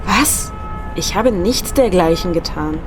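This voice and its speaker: A woman's voice: fairly low pitch, expressive, slow pace, music in the background. A woman playing a familiar, seemingly innocent suspect in an audio drama. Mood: surprised, protesting. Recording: clearly noisy street ambience behind.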